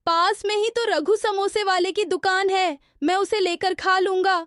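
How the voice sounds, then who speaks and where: A woman's voice: extremely high pitch, fairly even intonation, normal speed, fairly bright tone, dry recording, studio quality. A woman voicing a hungry village boy who pleads with his mother and a shopkeeper for samosas. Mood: pleading. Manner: whiny, pleading. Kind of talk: cartoon voice acting.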